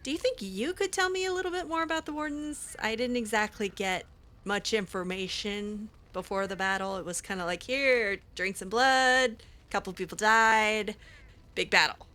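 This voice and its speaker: A woman's voice: medium pitch, normal speed, sharp tone. A woman playing through a fantasy game in character, chatting casually and wryly, hesitant, with lots of fillers. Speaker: roleplay streamer. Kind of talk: casual in-character roleplay chat.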